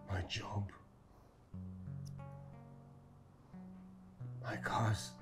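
A man's voice: sits high, reverberant. Broken, intense Nigerian father. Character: broken ex-convict father, desperate and insistent. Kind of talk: naturalistic film drama acting.

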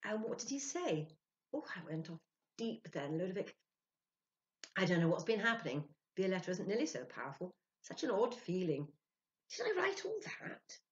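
Storybook wife, as a woman narrator plays her: shrewd, exclamatory, highly animated, slightly muffled sound. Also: normal speed. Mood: drowsy wonder. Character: keen, shrewd, excitable wife. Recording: dull, lo-fi sound.

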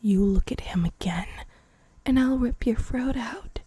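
A woman voicing a possessive, menacing young vampire bride in an intimate, teasing ASMR roleplay, breathy and often whispering. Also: fairly high pitch, a little slow, dark tone, dry recording. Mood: cold, menacing. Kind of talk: ASMR girlfriend roleplay, improvised.

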